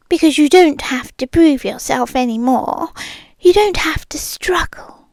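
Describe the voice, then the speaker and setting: A young British woman voicing a calm, controlling rich girlfriend in an ASMR roleplay, speaking slowly and softly and often whispering close to the mic. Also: high voice, dry recording, heavily acted. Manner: slow, soft-spoken, often whispering close.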